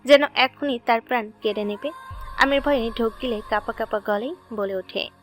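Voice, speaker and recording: A woman's voice: a little high, normal speed, fairly bright tone, faint music behind. A woman reading scripted story narration as the narrator of an audio drama.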